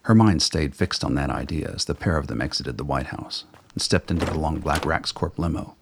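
A man narrating his radio play in a steady, even storytelling voice. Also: very deep voice, brisk, slight background noise. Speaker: writer and narrator.

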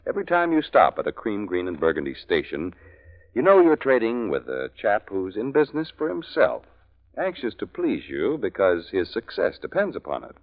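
Animated vintage male radio announcer. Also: fairly low pitch. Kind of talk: 1940s radio announcing and sponsor copy. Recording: muffled old radio broadcast with music.

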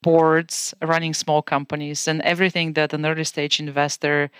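A woman in her forties from Bulgaria with a Bulgarian accent, a guest calmly recounting her career in a podcast interview. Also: deep voice, normal speed, clear, resonant tone, dry recording, studio quality.

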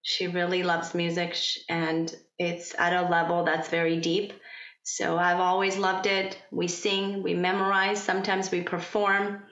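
A woman whose first language is Farsi, a podcast guest talking in a thoughtful, gentle way and laughing easily. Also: on the low side, little pitch movement, a little slow, reverberant, muffled recording. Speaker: podcast guest, parent coach. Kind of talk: casual interview chat.

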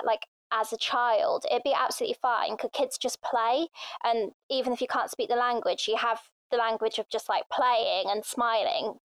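A woman's voice: a little high, clean tone, dry recording. A young woman from England being interviewed, talking casually and chattily with many fillers. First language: Thai. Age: young adult. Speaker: interview guest.

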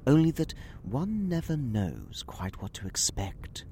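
A man's voice: medium pitch, wildly swooping pitch, a little slow, mellow, a little hiss or hum. A British man playing a genteel, talkative Victorian magazine writer in an audio drama, rambling and theatrical in manner. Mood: animated, intrigued. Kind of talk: audio drama acting in period English.

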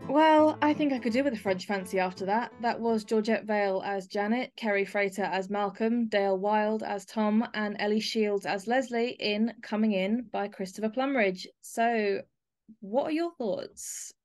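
A woman's voice: mid-range voice, moderate pace, darkish tone, soft background music. A woman with a British accent hosting a podcast in a casual, chatty interview style. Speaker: podcast host.

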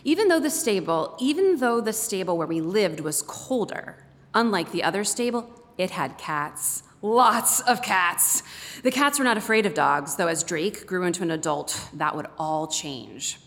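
A woman's voice: on the low side, animated, unhurried, a bit bright. Woman reading aloud in an echoey hall. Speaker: guest reader. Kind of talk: read-aloud book excerpt. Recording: echoey hall with long reverb.

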